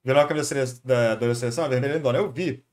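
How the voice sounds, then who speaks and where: A man's voice: medium pitch, racing, a little mellow. A man with a Brazilian accent chatting casually as a streamer, rambling, thinking aloud and repeating himself. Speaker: streamer reacting to a video. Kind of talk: casual live stream chat.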